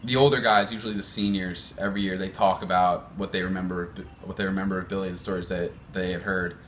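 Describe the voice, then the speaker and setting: A young fraternity member speaking earnestly and haltingly in an interview. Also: male voice, low-pitched, little pitch movement, a bit bright, slight background noise.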